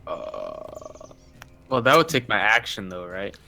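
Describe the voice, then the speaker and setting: A man's voice: fairly low pitch, huge pitch swings, takes it slowly, gravelly, sharp tone. A man playing a tabletop roleplay game, talking casually with the other players.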